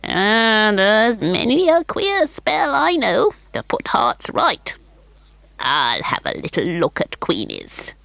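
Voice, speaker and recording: A woman reads as a tiny, bent old woman of the woods, wise and solemn. The voice is gravelly and drawn out, with pitch that swoops up and down. The sound is close and dry. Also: huge pitch swings, fairly bright tone, no echo, full character voice. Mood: knowing. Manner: slow, croaky, knowing storybook voice. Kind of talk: storybook reading.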